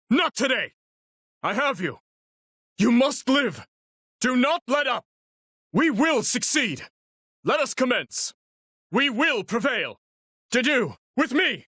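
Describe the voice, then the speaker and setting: An actor playing an earnest, courteous young warrior prince in a video game, speaking formally and resolutely. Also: male voice, extremely high pitch, pushed and bright, no echo, clean studio sound, heavily acted. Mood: rallying, resolute. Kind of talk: video game battle voice lines.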